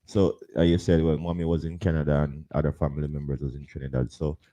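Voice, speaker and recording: A man's voice: rumbling low, little pitch movement, fast talker, mellow, dull audio. A man hosting a casual interview, repeating words and filling with "you know" as he asks questions. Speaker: interview host.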